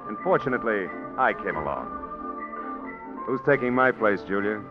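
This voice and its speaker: A bitter young man who needles his former lover with sarcasm, and he speaks in a middle-pitched, lively voice. The vintage radio recording sounds dull, with music underneath. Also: moderate pace. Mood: sarcastic. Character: bitter, sarcastic young junior business partner. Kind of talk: 1940s radio drama acting.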